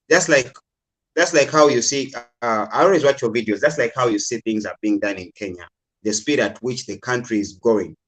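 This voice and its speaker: Man from Uganda, caller, casual, hedging, many fillers. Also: medium pitch. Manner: hedging, repeats "I would say" and "like". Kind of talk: casual call-in discussion.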